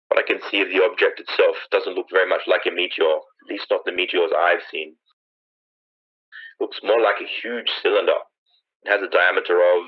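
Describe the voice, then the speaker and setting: A man playing an urgent, awed on-scene news commentator in a 1930s-style radio drama, searching for words as they describe things. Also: low-pitched. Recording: dull lo-fi old radio sound.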